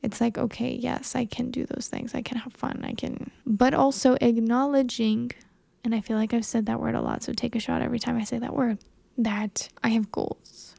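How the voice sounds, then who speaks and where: A woman's voice: a little high, level tone, moderate pace, vocal fry, mellow, no room sound. A woman in her early twenties giving a candid, earnest and self-conscious monologue to camera in a casual conversational way. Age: early 20s. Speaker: vlogger, young filmmaker.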